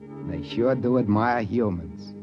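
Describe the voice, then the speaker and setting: A man's voice: lowish voice, unhurried, lightly acted. A man playing a folksy, plain-spoken sidekick who tells the tale in a 1950s radio drama. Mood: wry narrating. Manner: plain-spoken, ungrammatical colloquial. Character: folksy, easygoing trading-post sidekick narrating his tale. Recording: dull 1950s radio recording, music behind.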